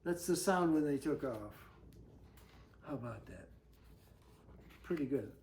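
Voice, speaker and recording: A woman's voice: very deep voice, lively intonation, slow pace, noisy background. A woman reading a children's picture book aloud, breaking off to chat and put questions to her young listeners. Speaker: storybook reader.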